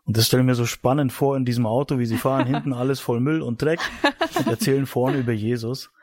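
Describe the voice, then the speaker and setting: A man in his forties from eastern Germany tells his story casually as an interview guest. His voice is husky and mellow with plenty of creak, recorded dry and close. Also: medium pitch, fairly even intonation, fast, no room sound. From Mecklenburg-Vorpommern, eastern Germany. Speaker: podcast guest, missionary. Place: studio.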